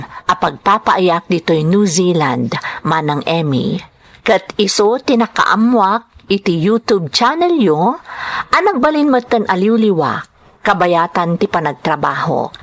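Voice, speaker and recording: A woman narrates as host of a drama show. She delivers scripted greetings and reads a letter aloud. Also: fairly low pitch.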